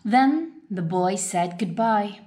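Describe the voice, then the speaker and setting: Woman reading a children's story, lively intonation. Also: medium pitch, slow pace. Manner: expressive, dramatic storytelling delivery. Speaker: storyteller reading a picture book aloud.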